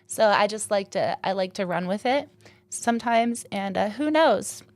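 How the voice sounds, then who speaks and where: A woman's voice: mid-range voice, expressive, no room sound. Woman podcast host, giggly and teasing, dry close-miked sound. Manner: giggly, teasing, talks in quick playful bursts. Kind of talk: casual joking chat.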